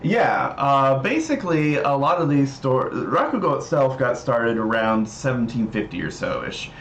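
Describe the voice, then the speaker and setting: A man hosting a livestream, explaining things casually in a halting, hesitant way. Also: medium pitch, sing-song, fairly bright tone. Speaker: livestream host. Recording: roomy echo, dull mic, noisy background.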